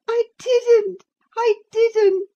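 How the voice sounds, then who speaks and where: A woman's voice: very high-pitched, measured pace, warm tone, dull audio, pitched above the performer's own voice. A woman reading aloud who voices a distraught teenage girl, pleading in gasps and sobs. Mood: pleading tearful. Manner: gasping, sobbing pleas.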